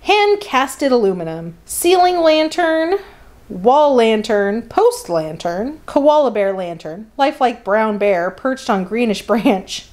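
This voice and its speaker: Wry, higher-voiced woman commentating casually. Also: very animated, slow pace, a little mellow. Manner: wry, sardonic, conversational. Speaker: host of a catalog flip-through series. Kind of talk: casual running commentary mixed with reading catalog copy aloud.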